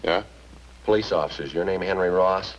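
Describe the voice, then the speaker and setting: An actor with an American accent playing a terse, no-nonsense police detective in a 1950s radio crime drama, clipped and deadpan. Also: male voice, deep voice, normal speed, dull audio, heavy background noise, lightly acted. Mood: curt, official. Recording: band-limited old radio recording, loud music.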